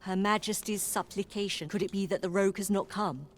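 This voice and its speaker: An actress playing a stern, dutiful royal knight in a fantasy video game, formal and authoritative. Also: female voice, medium pitch, a little fast, a little hiss or hum, lightly acted. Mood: grave, formal. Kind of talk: video game character dialogue.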